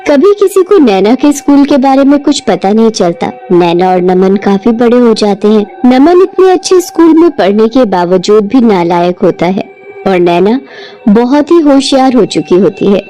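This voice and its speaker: A woman narrating an animated bedtime story in a gentle, even read-aloud manner. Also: on the high side, normal speed, soft background music, rough recording.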